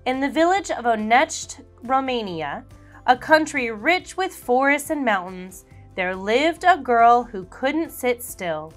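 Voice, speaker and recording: A woman reads a picture book to young listeners, drawing out each phrase at an unhurried pace. Her pitch runs a little high and swings widely as she tells the story. Soft music plays faintly behind her. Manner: animated, slow and clear storytelling. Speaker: children's book reader. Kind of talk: expressive read-aloud for children.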